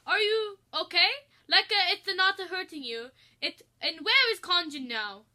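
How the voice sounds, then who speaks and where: A woman's voice: very high voice, animated, unhurried, bright, forceful tone, voice pushed up, exaggerated voice. A woman voicing a fussy, anxious male pizzeria chef with a hesitant manner, in a story reading. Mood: concerned, fussy. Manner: hesitant, fussing.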